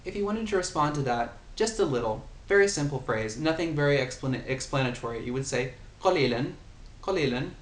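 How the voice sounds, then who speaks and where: A man's voice: fairly high pitch, animated. Man teaching phrases in an echoey room. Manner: slow, patient explaining with repeated example phrases. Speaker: language tutor. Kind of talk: casual spoken language lesson. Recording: echoey room, faint ambient noise.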